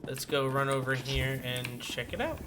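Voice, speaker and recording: A man's voice: medium pitch, normal speed, pushed and bright, slight background noise. A man giving casual gameplay commentary as a streamer. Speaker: gaming streamer.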